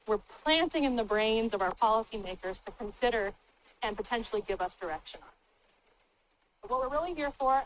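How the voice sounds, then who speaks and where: A woman on city staff presenting and moderating at a public town hall meeting, speaking informally and plainly with a loud, booming voice into a handheld microphone. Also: slight background noise. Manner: plain-spoken, informal, upbeat.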